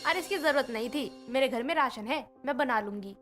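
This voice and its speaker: A woman voicing a wary older mother-in-law and landlady in a storybook tale, over soft background music. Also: high voice, animated, brisk. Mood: cool. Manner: anxious, pleading.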